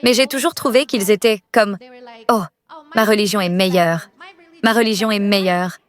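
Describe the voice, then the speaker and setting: A woman's voice: medium pitch, steady tone, moderate pace. A young woman whose mother tongue is Italian speaks from the audience at a public Q&A. Her voice sits mid-range, and she talks earnestly, sometimes hesitantly. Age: young adult. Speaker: audience member asking questions.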